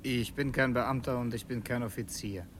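An actor playing an earnest, brooding revolutionary who narrates in an intense, halting way in a radio play. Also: male voice, medium pitch, steady tone, a bit bright, slight background noise, close to an everyday voice. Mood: guarded, impatient. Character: earnest, brooding male revolutionary narrating his secret errand. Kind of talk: radio play, first-person dramatic narration.

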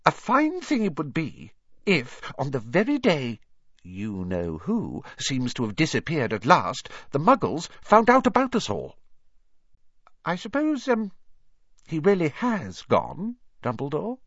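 Guy doing a prim older witch teacher for an audiobook, high pitched, irritable n anxious, really swoopy. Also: huge pitch swings, unhurried, a bit bright, breathy edge, dry recording, lo-fi sound, exaggerated voice. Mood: irritable, sharp, then cold, wary. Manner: irritable, exasperated, clipped and indignant.

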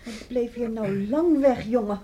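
Actress as slow, mellow, anxious village mother. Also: fairly high pitch, lo-fi sound, natural voice. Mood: fretful, caring. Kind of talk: Dutch radio drama acting. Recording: noisy old radio play recording.

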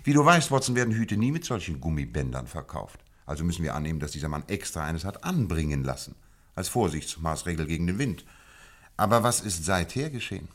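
Shrewd, lecturing detective in a radio play, very deep voice, teasing. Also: male voice, animated, brisk, a bit bright, exaggerated voice. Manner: teasing, lecturing, theatrical emphasis. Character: shrewd lecturing male detective, middle-aged. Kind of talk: 1950s radio drama acting.

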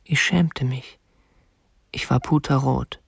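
An actor in a radio play as an awkward, obsessive, prim young kidnapper, speaking and narrating in a stiff, restrained way with slight huskiness. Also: male voice, medium pitch, steady tone, takes it slowly, gravelly, husky, dry recording, studio quality, heavily acted. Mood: brooding, confessional. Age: young adult. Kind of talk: radio drama acting, first-person narration and dialogue.

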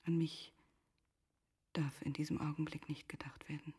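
Breathy, grieving noblewoman. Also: low voice, little pitch movement. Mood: anguished self-questioning. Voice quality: breathy, whispery. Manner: hushed, solemn, deliberate. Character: grieving, resigned noblewoman, composed and self-reproaching. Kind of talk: literary German radio play acting.

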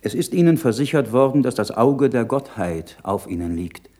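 An actor playing an earnest, troubled learned monk in a radio play, speaking in a measured, solemn, rhetorical way. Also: male voice, mid-range voice, big pitch swings, dark tone. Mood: urgent, pleading. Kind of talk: German radio play acting.

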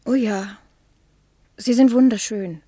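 An actress playing a timid, naive, sheltered niece who speaks shyly and hesitantly, in the style of a 1960 German radio play. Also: female voice, a little high, slow pace, dark tone, dry recording, studio quality. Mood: shy, pleased. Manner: hesitant, breathy, shy.

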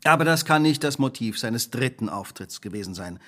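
An actor playing a shrewd, self-assured detective who speaks precisely and probingly in a radio play. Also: male voice, mid-range voice, lively intonation, brisk, pushed and bright. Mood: incisive, deducing. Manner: precise, probing, imperious deduction. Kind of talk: dramatic radio play dialogue.

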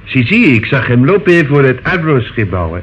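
An actor playing an earnest Italian immigrant witness in a radio play, speaking in halting, broken sentences. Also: male voice, medium pitch, very animated, natural voice. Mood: earnest, puzzled. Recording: muffled, noisy old radio recording.

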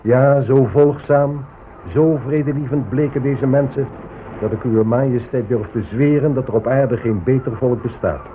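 An actor playing a proud, pious explorer admiral giving a stately, formal report in a radio drama. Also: male voice, mid-range voice, normal speed, lightly acted. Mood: self-assured. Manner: stately, declamatory. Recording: phone-like old radio recording, faint noise.

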